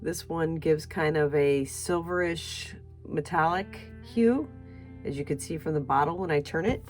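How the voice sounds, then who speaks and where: A woman's voice: sits low, measured pace, soft background music. An older woman who sounds nasal and stuffed-up from a sinus infection, chatting patiently through a painting tutorial step by step. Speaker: artist demonstrating fluid painting. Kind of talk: casual tutorial narration.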